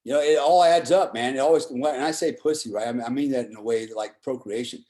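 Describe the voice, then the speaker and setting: Middle-aged male, medium pitch, blunt opinionated conversational storyteller, salty language, frequent check-ins with the listener. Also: fast talker, mellow. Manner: often seeks agreement with "right?" and "you know".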